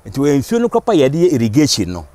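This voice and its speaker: A middle-aged Ghanaian man who owns a ranch, talking about his farm in a casual outdoor interview and gesturing as he speaks. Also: mid-range voice. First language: Twi. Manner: fast, animated, gestures with his hands while talking. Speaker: ranch owner. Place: open farmland outdoors.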